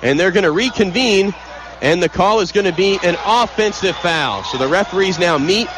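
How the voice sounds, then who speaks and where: Male play-by-play sportscaster, high register, punchy clipped radio calls over heavy crowd noise. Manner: vivid, clipped, rapid-fire calls. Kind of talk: live basketball radio commentary. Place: indoor basketball arena. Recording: loud crowd noise behind, live arena broadcast. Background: loud crowd.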